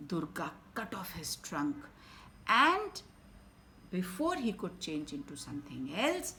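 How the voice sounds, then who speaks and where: A woman with an Indian English accent reading a story aloud to children, swinging from loud emphasis to soft whispers. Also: on the low side, wildly swooping pitch, slow, slight background noise. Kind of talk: expressive bedtime story reading.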